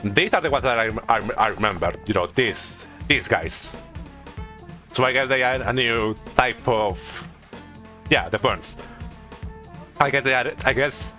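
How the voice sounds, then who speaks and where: A man giving casual video game commentary as a streamer, haltingly thinking aloud and often repeating himself. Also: mid-range voice, pushed and bright, soft background music.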